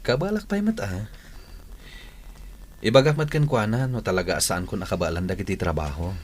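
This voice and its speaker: A man's voice: fairly low pitch, lively intonation, normal speed, slightly rough. A man playing an earnest, put-upon office worker in a Filipino radio drama, with an Ilocano accent. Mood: defensive. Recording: noisy old radio recording.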